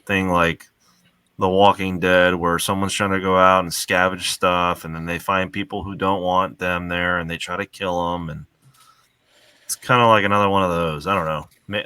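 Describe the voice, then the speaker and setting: A man's voice: low-pitched, level tone, moderate pace, sharp tone, clean tone, dry recording, distant mic. A man chatting casually as a podcast panelist. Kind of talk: casual chat.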